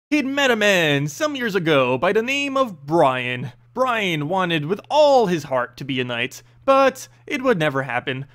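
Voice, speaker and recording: Man, high voice, lively swooping intonation, dramatic fantasy narration. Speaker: narrator reading game lore. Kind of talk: expressive fantasy story narration.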